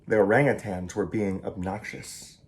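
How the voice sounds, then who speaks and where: A man, a children's author, reading a picture book aloud in an animated, theatrical and emphatic way. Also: lowish voice, normal speed. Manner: theatrical, emphatic, with varied delivery.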